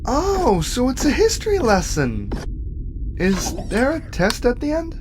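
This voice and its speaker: A woman voicing a naive, excitable and easily rattled young robot in an audio drama. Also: on the high side, measured pace, noisy background. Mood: curious. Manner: eager, wide-eyed, emotional.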